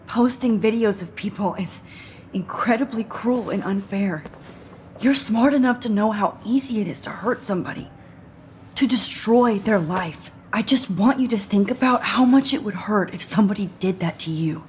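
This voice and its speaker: A woman voicing an earnest teenage photography student in a video game, soft and often half-whispered. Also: medium pitch, normal speed, slight background noise, close to an everyday voice. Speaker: game protagonist.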